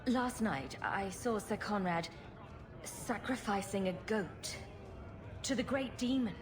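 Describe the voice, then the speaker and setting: An actress playing a confident, sarcastic adventurer heroine in a fantasy video game, dry and joking. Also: female voice, medium pitch, slow pace, natural voice. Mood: mock-serious. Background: music.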